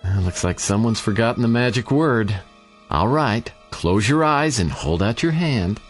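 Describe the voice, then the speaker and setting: A man's voice: medium pitch, expressive, unhurried, soft background music, close to an everyday voice. An actor playing a wisecracking hard-boiled male private detective, narrating with dry sarcasm. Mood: wry. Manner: dry, sardonic. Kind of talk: noir first-person radio narration. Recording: echoey with long reverb.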